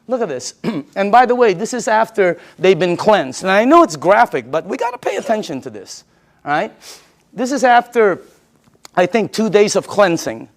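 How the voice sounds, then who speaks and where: A woman's voice: mid-range voice, very animated, normal speed. A woman from the Philippines giving an informal sales presentation, emphatic and repetitive, often putting rhetorical questions to her listeners.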